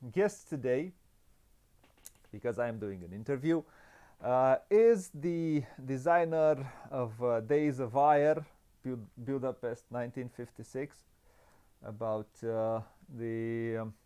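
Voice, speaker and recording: A man's voice: mid-range voice, warm tone, clear, resonant tone. A man hosting an unscripted interview, speaking hesitantly with many stammered restarts. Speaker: interview host. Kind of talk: unscripted board game interview. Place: online video call interview.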